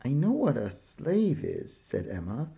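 Male storybook reader, slow and gravelly, on a muffled home recording. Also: huge pitch swings. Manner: slow, gentle reading with lifted pitch for child characters' lines. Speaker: picture book reader. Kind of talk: children's book read-aloud.

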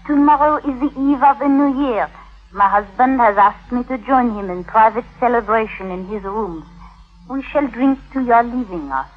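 Actress as poised, high-voiced young Victorian wife. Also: female voice, moderate pace, natural voice. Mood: cool, pointed. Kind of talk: 1950s radio drama acting. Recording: dull vintage 1950s radio recording.

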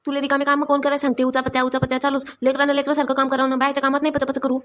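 A woman's voice: high voice, monotone, fairly bright tone. A woman voicing a busy, scolding village mother in a cartoon, nagging. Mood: angry, scolding. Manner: nagging, rapid repeated orders. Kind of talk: Marathi comedy cartoon dialogue.

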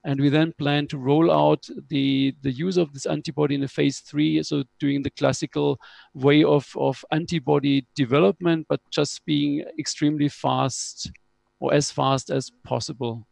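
A middle-aged man with a hoarse voice giving a measured scientific presentation on a video call. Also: on the high side, little pitch movement, darkish tone, dry recording. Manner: measured, explanatory, slightly halting. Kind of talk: conference panel presentation. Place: home room with window blinds. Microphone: headset on video call.

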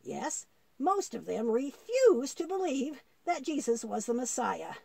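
Woman voicing a patient, slow-talking old grandfather puppet. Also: high-pitched, big pitch swings, vocal fry, mellow, husky, dry recording, heavily acted. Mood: patient teaching. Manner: measured, explanatory, quotes scripture. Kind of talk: puppet show dialogue for children.

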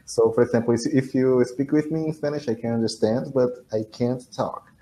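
A man with a Brazilian accent speaks careful, halting learner English, padding his sentences with "you know". His voice is mellow and warm. He sounds as though he is on a laptop video call from a small room. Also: medium pitch. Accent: Brazilian Portuguese. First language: Portuguese. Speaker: English student. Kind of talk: casual conversation practice. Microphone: webcam or laptop mic on a video call.

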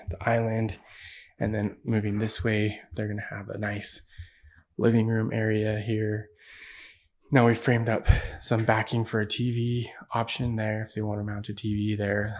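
An American man giving a casual, matter-of-fact walkthrough of a building job, explaining as he goes. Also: lowish voice. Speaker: builder presenting a project. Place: indoors, large unfinished basement.

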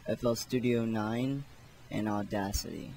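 A man narrating a software tutorial in a casual, offhand, unhurried way. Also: on the low side, fairly even intonation, vocal fry, a little hiss or hum, poor audio. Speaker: tutorial host.

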